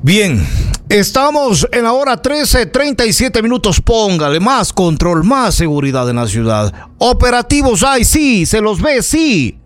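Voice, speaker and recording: Ecuadorian male radio news host, highish voice with big melodic swings, emphatic and indignant, opinionated live delivery. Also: big pitch swings, a little slow, dry recording, faint music behind. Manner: indignant, emphatic, repeating phrases for effect. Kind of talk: live opinionated radio commentary, addressing listeners.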